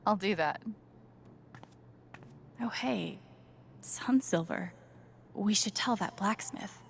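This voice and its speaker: A woman's voice: mid-range voice, takes it slowly, vocal fry, slight background noise. An actress playing a wry, curious young woman roleplaying as a bard in a video game, talking conversationally. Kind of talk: video game acting, inner monologue.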